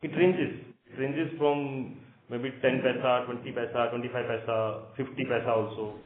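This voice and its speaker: Man, Indian accent, company executive, halting unscripted answers, echoey room, narrow telephone sound. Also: mid-range voice, fairly even intonation. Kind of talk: spontaneous earnings call answers. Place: conference call. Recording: roomy echo, narrow phone-like line.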